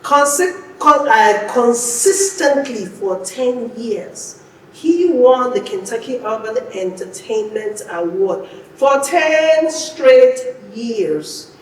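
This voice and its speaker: A woman's voice: fairly high pitch, animated, slow pace. A woman hosting a podcast, introducing and interviewing with an emphatic delivery that repeats phrases for effect. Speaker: podcast host. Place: indoor podcast studio. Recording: echoey, reverberant room, distant mic, faint noise.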